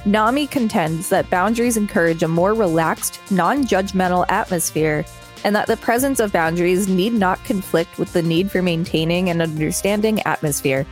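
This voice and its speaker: Female podcast co-host, slightly low register, reads articles aloud and comments casually, soft music behind. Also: moderate pace. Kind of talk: reading an encyclopedia page aloud with casual commentary.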